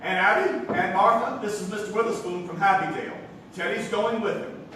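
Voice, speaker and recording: A man plays a flustered young nephew in a staged radio comedy. He is excitable and prone to stammering, in a high voice. The sound is roomy and distant, with a faint noise. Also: brisk. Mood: anxious, coaxing.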